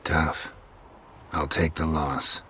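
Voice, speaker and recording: A laconic male monster hunter in a fantasy game, with an extremely low, gravelly voice. He speaks in terse, deadpan phrases, weary and unbothered, over faint background noise. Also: drawn-out words, full character voice. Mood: terse, calm. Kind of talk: video game character acting.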